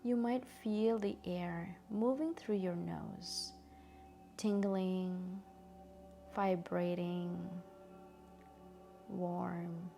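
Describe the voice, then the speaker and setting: A woman guiding a meditation in a gentle, soothing, soft-spoken way with long pauses. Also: lowish voice, fairly even intonation, vocal fry, soft background music. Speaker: meditation guide. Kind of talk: guided meditation narration.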